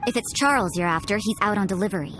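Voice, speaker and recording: A woman's voice: medium pitch, animated, racing, lightly acted. Woman playing a cool, sardonic nun. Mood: indifferent, dry. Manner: dry, sardonic. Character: cool, sardonic young nun with aloof composure. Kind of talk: English video game voice acting. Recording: music playing behind the voice.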